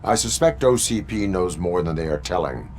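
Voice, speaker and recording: A stern cyborg cop, speaking in a clipped, mechanical way with an extremely deep voice that still moves up and down. He sounds like a video game cutscene character. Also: normal speed, slight background noise. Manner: flat, clipped, mechanical delivery. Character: stern cyborg police officer, male adult.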